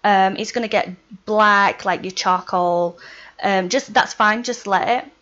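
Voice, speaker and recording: A woman's voice: mid-range voice, unhurried, fairly bright tone. Chatty Yorkshire woman, slightly dull sound. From Yorkshire, England. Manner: chatty, laughs between points, often says "right" and "um". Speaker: witchcraft vlogger. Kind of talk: casual how-to vlog.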